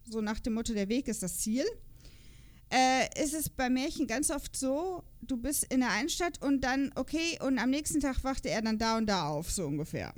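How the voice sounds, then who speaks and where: A woman with a fairly high-pitched voice talks as a podcast host. She chats casually and loosely, rambling along from one thought to the next. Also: lively intonation, moderate pace. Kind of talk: casual chat.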